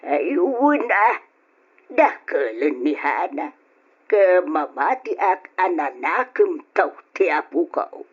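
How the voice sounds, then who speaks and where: Slow, husky older Ilocano mother. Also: fairly low pitch, sing-song, heavily acted. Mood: moved. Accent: Ilocano, from the Philippines. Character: older Ilocano mother and grandmother, caring, concerned. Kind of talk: old-time radio drama acting. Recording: dull lo-fi old radio recording.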